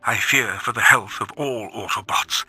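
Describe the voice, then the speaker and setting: A man's voice: fairly low pitch, normal speed, bright, forceful tone, slightly rough, no room sound, soft background music, heavily acted. A man voicing a grave, formal robot chronicler in a dramatic video game audio log. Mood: grave, troubled.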